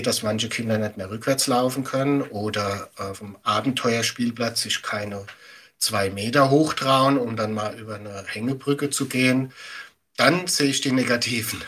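A man's voice: lowish voice, moderate pace, pushed and bright, breathy edge. A middle-aged man giving conversational, emphatic answers as an expert guest in an interview, explaining things with everyday examples. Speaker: media education expert guest.

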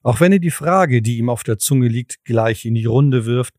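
A man's voice: mid-range voice, normal speed, a little mellow, dry recording, clean studio sound. A man narrating a scripted audio drama in the role of storyteller. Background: background music.

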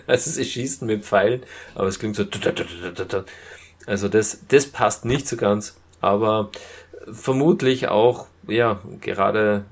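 A man casually reviewing as a podcast co-host, retelling scenes in a halting way. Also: lowish voice. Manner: halting retelling with acted-out quotes.

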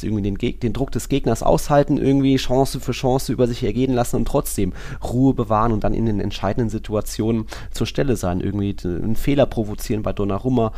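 Low-pitched man chatting about football. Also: darkish tone. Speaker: football podcast host. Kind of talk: casual football discussion.

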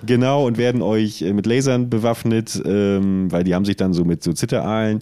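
A man's voice: fairly low pitch, fairly even intonation, a little fast, mellow, dry recording. A man co-hosting a casual comedic podcast, rambling through absurd ideas in an ironic way. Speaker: podcast co-host.

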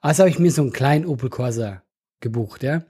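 A man with a Swiss German accent, chatting casually and telling animated stories with frequent laughter. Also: medium pitch, quick pace, husky. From Switzerland. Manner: animated storyteller, laughs often. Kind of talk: casual chat.

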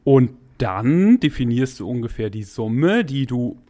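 A man in his forties chats as a guest on a podcast, loose and slangy, rambling through his thoughts with the odd laugh. His voice sits at an ordinary middle pitch. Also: sing-song, measured pace, warm tone. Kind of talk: casual podcast chat.

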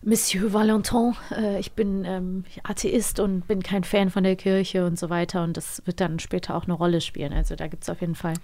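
A woman's voice: on the low side, level tone, moderate pace, hardly any pauses, dark tone. A woman co-hosting a magazine about radio plays, talking casually and thinking aloud as she reviews. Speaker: radio drama magazine co-host.

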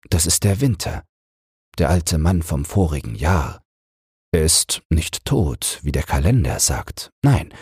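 An old storybook raven acted by a man, husky and very rough in tone. He lectures smaller birds with patronising condescension, close and dry on the microphone. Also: a bass voice, dry recording, exaggerated voice. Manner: patronising, expository. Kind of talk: character voice in a fairy tale audiobook.

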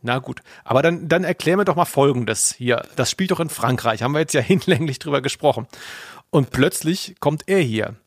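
A man's voice: mid-range voice, animated, fairly quick, dry recording, studio quality. A man co-hosting a casual comic podcast, asking questions in a mock-puzzled way. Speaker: podcast co-host.

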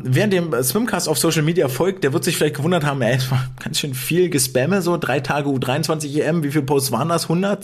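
A man's voice: a little high, husky. A man hosting a swimming podcast in casual talk, chatty with many fillers and restarts. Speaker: podcast host.

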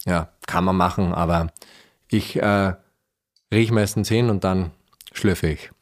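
A man's voice: low-pitched, steady tone, measured pace. A man with an Austrian accent from Styria, speaking casually and thoughtfully as an interview guest, feeling his way through his phrases. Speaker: podcast guest, winery managing director.

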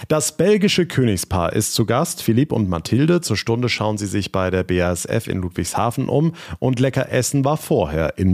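A man's voice: mid-range voice, darkish tone. A man hosting a regional news podcast, presenting stories and chatting with reporters in a friendly, conversational way.

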